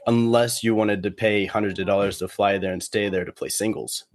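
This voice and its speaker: A man chatting casually as a podcast guest, rambling and halting, with plenty of slang. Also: on the low side, fairly even intonation, no echo, clean studio sound, soft background music. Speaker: guest, competitive cornhole player.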